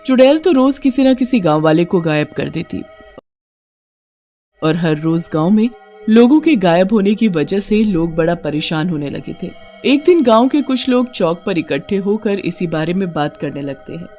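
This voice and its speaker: A woman's voice: fairly low pitch, animated, normal speed, dark tone, lo-fi sound, faint music behind. A woman narrator reading a scripted horror story for a cartoon.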